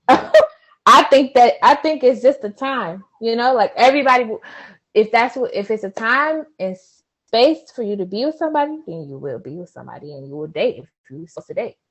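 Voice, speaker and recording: A woman in her twenties, a guest in a casual chat, laid-back and thinking out loud with frequent laughs. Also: fairly high pitch, expressive, moderate pace. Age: 20s.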